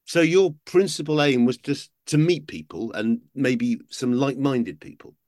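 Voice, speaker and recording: A man hosting an interview, asking brisk questions with light humor. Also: mid-range voice, darkish tone. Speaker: interviewer, host.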